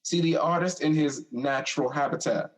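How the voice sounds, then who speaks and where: A man acts a young, protective older brother in an audio drama. His voice sits a little high for a man. He talks expressively and informally, streetwise, with a passionate, teasing streak. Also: moderate pace, close to an everyday voice, rough recording. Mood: exasperated, teasing.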